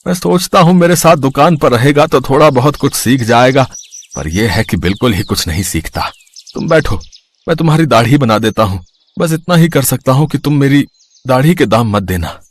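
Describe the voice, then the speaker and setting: A man voicing a worried, ageing village barber and father who admonishes in a Hindi animated story. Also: mid-range voice, moderate pace, close to an everyday voice. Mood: apologetic. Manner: admonishing, exasperated.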